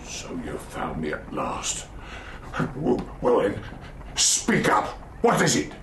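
An actor playing a drunken, foul-tempered old sea captain in a radio drama, growling out orders and curses in a gravelly voice. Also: male voice, fairly low pitch, wildly swooping pitch, takes it slowly, roomy sound, noisy background, exaggerated voice. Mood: grim. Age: older.

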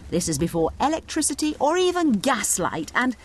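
A woman's voice: fairly high pitch, very animated, moderate pace, slight background noise. A woman narrating a scripted, dramatised study guide like a tour guide, theatrical and explanatory, slipping in asides to the listener.

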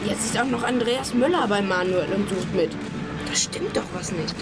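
An actor voicing an excitable young boy football fan in an eager, exclamatory way for a children's audio drama. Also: medium pitch, normal speed, loud music behind the voice, natural voice. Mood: curious, concerned. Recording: loud stadium noise behind.

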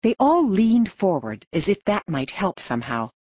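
A woman's voice: mid-range voice, sing-song, normal speed, no echo. A woman narrating a children's novel from a script as an audiobook reader. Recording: dull, narrow lo-fi audio.

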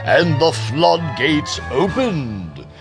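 A man delivering scripted story narration in a wry, deliberate manner. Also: on the high side, big pitch swings, over music.

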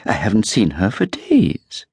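Man playing a fussy old lady landlady, high swooping voice, slow wheedling delivery, audiobook. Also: huge pitch swings, creaky, darkish tone, husky, dry recording, heavily acted. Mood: wistful prying. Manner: sing-song, drawn out and wheedling. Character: fussy genteel old woman landlady, sly and prying. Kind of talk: audiobook character voice.

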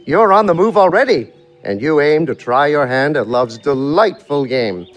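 A man's voice: on the high side, lively intonation, normal speed, faint music behind, natural voice. A man playing a worldly, wily, talkative manservant in a theatrical verse comedy, with a sly, flourishing delivery in rhyme. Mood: teasing, boastful. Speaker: actor.

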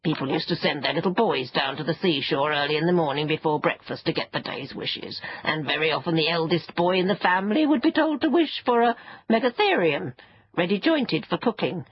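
A woman's voice: sits low, expressive, a little fast, highs cut off, slight background noise. A woman voicing an ancient, furry sand fairy from a children's storybook, crotchety, haughty and scornful. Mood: reminiscing. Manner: scornful, peevish, self-important.

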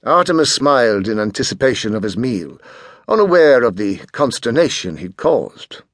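A male narrator reads fiction with very lively, swooping intonation. His voice is mid-pitched and the recording is dry and close, in expressive audiobook style. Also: moderate pace, no room sound.

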